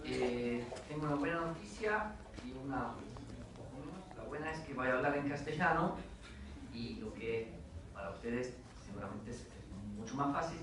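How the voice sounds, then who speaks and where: A man with a foreign accent gives an academic lecture, pausing often with fillers and restarting phrases. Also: medium pitch, noisy background. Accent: foreign accent in Spanish. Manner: hesitant, many fillers and restarts. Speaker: guest lecturer. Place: lecture hall. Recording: echoey hall, mic from a distance.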